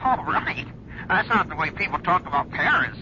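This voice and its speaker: An actor playing a shy, tentative suitor with a halting manner, heard through a telephone in a radio drama. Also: male voice, fairly high pitch, very animated, heavily acted. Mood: tentative, concerned. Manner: halting, hesitant. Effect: telephone filter. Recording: quiet tinny phone line, noisy broadcast.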